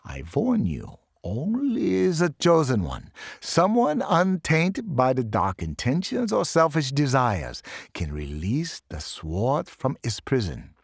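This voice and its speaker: Brief: man voicing a German-accented professor, lively pitch, dry read, theatrical lecturer. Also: slow pace, gravelly, breathy edge, dry recording, full character voice. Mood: solemn. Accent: put-on German. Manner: dramatic, playful storyteller.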